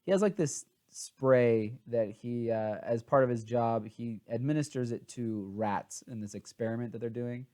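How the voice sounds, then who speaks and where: A man's voice: mid-range voice, normal speed, hardly any pauses, dark tone. A man chatting casually as he hosts a book review, informal and a bit scattered and hesitant, sounding tired.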